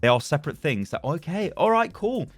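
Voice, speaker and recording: A man's voice: a little high, big pitch swings, brisk, fairly bright tone, no echo, soft background music. A young British man chatting casually as a gaming YouTuber, rambling and laughing as he reads and reacts to forum posts. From Britain.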